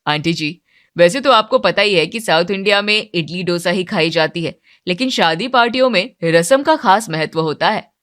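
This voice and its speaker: A woman's voice: lowish voice, lively intonation, normal speed, a bit bright, no room sound, clean studio sound. A polite young man from South India acted by a woman narrator in a cartoon story. He explains things patiently and helpfully. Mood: patient explaining. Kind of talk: Hindi moral-story cartoon character.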